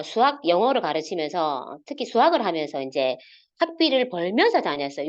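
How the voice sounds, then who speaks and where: A woman in her forties with a Gyeongsang accent, giving a halting, filler-heavy guest talk over a video call. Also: dull audio. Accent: Gyeongsang Korean. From South Korea, Gyeongsang region. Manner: halting, many fillers, lively pitch swings. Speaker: guest speaker.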